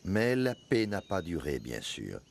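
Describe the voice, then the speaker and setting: Deep, solemn devout storyteller. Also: male voice, huge pitch swings, a little slow, creaky, exaggerated voice. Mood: grave. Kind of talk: dramatic scripted narration for an animated film. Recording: music under the voice.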